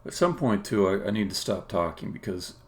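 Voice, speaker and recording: A man, a game developer, casually explaining his work on a live stream in a halting, think-aloud way. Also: lowish voice, expressive. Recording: clear background noise.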